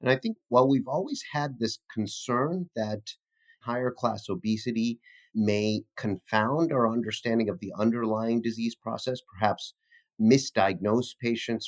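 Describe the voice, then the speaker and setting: Male doctor guest, lowish voice, formal and articulate, talking research in an interview. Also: moderate pace. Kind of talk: medical interview discussion.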